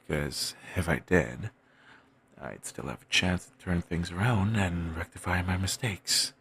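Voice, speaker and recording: A man playing a wistful, self-doubting wandering bard in an intimate audio roleplay, musing in courteous, formal phrases with thoughtful pauses and a gravelly, husky voice. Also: low-pitched, fairly bright tone, heavily acted. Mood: melancholy musing. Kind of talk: intimate one-sided audio roleplay acting.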